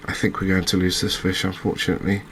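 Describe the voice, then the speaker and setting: A man giving gentle, unhurried ASMR commentary over a cozy fishing game, with hesitant, understated phrasing. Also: deep voice, completely flat delivery, a little hiss or hum. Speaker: host, video game streamer.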